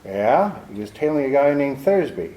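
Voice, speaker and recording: A man's voice: medium pitch, very animated, moderate pace, dark tone, close to an everyday voice. An actor playing a cynical, wisecracking male private detective in a 1940s radio drama, with a dry, sardonic manner. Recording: roomy 1940s radio broadcast.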